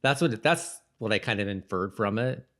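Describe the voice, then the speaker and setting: A man's voice: lowish voice, lively intonation, normal speed, fairly bright tone. A man co-hosting a podcast, chatting casually and jokingly, often repeating words as he thinks aloud. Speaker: podcast co-host. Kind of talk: casual chat about a TV episode.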